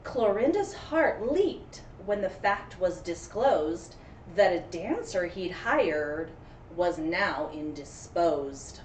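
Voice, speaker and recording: A woman teacher reading a story aloud to young children in an expressive, encouraging, dramatic way, with friendly chat in between. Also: lowish voice, slow pace. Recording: roomy echo, distant mic, faint noise.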